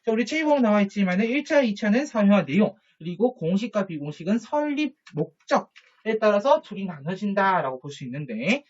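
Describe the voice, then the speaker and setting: A man teaching a high school social studies lesson, chatty, with jokey asides and quick questions to his students. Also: very animated. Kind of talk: online lecture to students. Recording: dull lo-fi recording.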